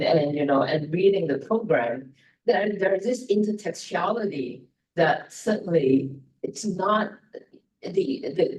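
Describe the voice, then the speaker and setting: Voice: medium pitch, a little mellow. Rambling panelist heard from a distance. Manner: rambling, many fillers and repeats. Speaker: conference panelist. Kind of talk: panel discussion remarks. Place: conference room. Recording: voice from a distance in the room.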